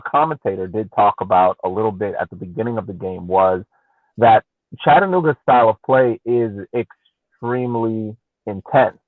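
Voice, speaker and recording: A man co-hosting a casual soccer podcast, deliberately explaining his analysis point by point over a thin connection. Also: on the low side, no room sound, narrow, tinny audio. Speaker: podcast co-host and soccer fan. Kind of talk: casual post-game sports analysis.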